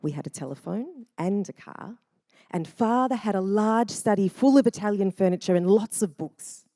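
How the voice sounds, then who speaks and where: A woman narrating a family memoir in a measured, reflective first-person reading. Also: medium pitch, dark tone, dry recording, clean studio sound.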